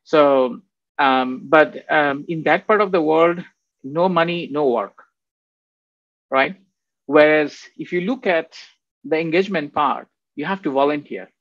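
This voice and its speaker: A man's voice: fairly high pitch, a little slow, pauses often, no echo. Man lecturing over a dull video call. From Bangladesh. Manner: rambling, conversational, frequent "right?" tags. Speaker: guest speaker. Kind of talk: faculty development lecture. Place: home office.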